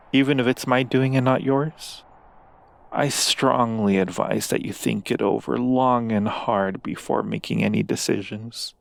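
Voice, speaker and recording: Guy doing a seductive demon for asmr roleplay, real slow and gravelly, coaxing u all formal and silky. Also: medium pitch. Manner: slow, silky persuasion with formal, deliberate wording. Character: seductive, coaxing male demon courting a mortal.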